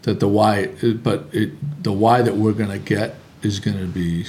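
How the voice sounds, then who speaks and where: A man's voice: lowish voice, steady tone, moderate pace, darkish tone, a little hiss or hum. A man in casual local talk, opinionated and rambling, often restarting his sentences.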